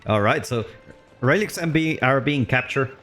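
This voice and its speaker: A man streaming live commentary on a strategy game match. He is chatty and loose, and his voice leaps up on big plays before settling back to calm explaining. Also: normal speed, soft background music. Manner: excitable, voice jumps high on big plays, then calm explaining; loose and chatty, with fillers and restarts.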